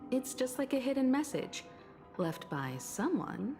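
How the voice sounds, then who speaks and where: An actress playing a composed, perceptive woman in a video game, explaining things in a poised, deliberate way. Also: high-pitched, sing-song, dark tone, exaggerated voice. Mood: knowing, composed. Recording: long hall reverb, faint music.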